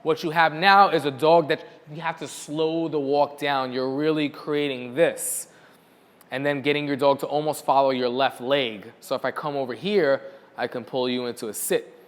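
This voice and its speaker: A man's voice: on the high side, steady tone, normal speed. Man coaching in a reverberant hall. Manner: coaching, repeats words for emphasis. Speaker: dog trainer answering viewer questions. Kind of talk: casual instructional Q&A.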